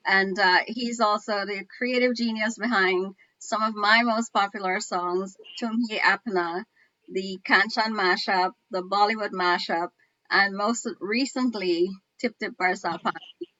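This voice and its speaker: Woman host on a video call, bright voice, chatty and friendly, dry and kinda dull audio. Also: medium pitch, fairly even intonation, measured pace, no room sound. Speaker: show host. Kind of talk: casual online chat.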